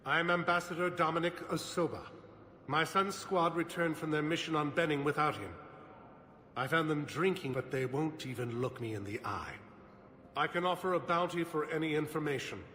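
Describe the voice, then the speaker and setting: Bright-voiced, anxious ambassador father. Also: a little high, moderate pace. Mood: grieving, formal. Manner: formal, imploring, grave. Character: anxious male ambassador, a pleading worried father. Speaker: voice actor in a video game. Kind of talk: dramatic video game dialogue. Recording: roomy reverberant sound, faint background ambience.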